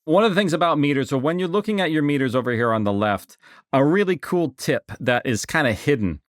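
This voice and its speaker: A man narrating a software tutorial in an informal, explanatory way, repeating key words for emphasis. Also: a little high, animated, a little fast, no echo, clean studio sound. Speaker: software instructor. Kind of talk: casual screen-share tutorial narration.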